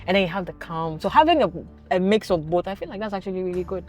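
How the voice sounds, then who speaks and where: A woman with a lowish voice hosts a relaxed interview. She is friendly and teasing, dropping quick "right"s and "mm-hmm"s. Soft music plays faintly underneath. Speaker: podcast host. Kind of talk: casual interview.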